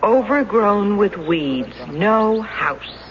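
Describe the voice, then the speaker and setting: An actress with an American accent playing a loving, practical, skeptical wife in a radio drama, talking briskly, dryly and coaxingly. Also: female voice, medium pitch, lively intonation. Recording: muffled, faintly noisy 1970s radio recording.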